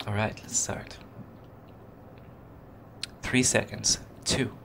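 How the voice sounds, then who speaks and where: A man's voice: on the low side, flat delivery, extremely slow, vocal fry. A man with a Dutch accent, rambling casually and searching for words while commentating his own game. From Netherlands. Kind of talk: casual let's play commentary. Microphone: desk microphone recorded on computer. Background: game music.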